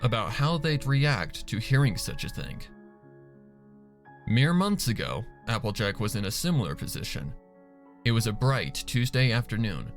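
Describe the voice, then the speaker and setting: A man's voice: mid-range voice, big pitch swings, normal speed, faint music behind. A man reading a dramatic scripted story as its narrator. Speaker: fan fiction narrator.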